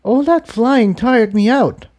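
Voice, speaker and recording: An earnest young mare from a storybook adventure, performed by a man in a very high, airy register. She sounds caring and pleading, loyal to those around her. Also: slow pace, a little mellow. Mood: tired.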